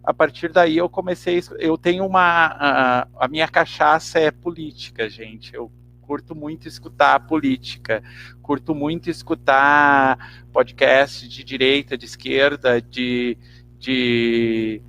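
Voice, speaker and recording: A man's voice: mid-range voice, steady tone, unhurried, a bit bright. A man with a southern Brazilian accent chatting casually as a podcast guest, meandering and often checking for agreement. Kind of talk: casual interview chat. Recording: faint background noise and hum.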